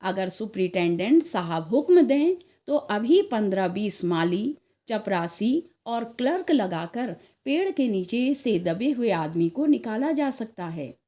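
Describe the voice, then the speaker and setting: A woman's voice: mid-range voice, big pitch swings, measured pace, warm tone. A woman voicing a practical, eager male gardener in a story, insistent and concerned. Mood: urgent.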